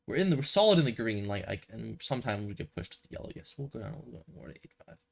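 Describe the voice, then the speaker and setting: Young male streamer, slightly low voice, a bit stuffy, casual rambling commentary. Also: big pitch swings, rapid-fire, gravelly. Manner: rambling, thinks aloud, self-correcting. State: a little stuffy from a cold. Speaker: gaming streamer.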